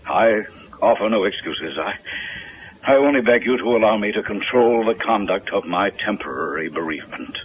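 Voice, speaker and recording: Guy playing obsessed widower dad, low theatrical voice, old muffled radio drama recording. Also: animated, normal speed, lightly acted. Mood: mournful, pleading. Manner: formal, ornate, theatrical phrasing. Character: grieving, obsessive widowed father, a reclusive doctor. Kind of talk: 1970s radio drama acting. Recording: dull lo-fi vintage radio recording.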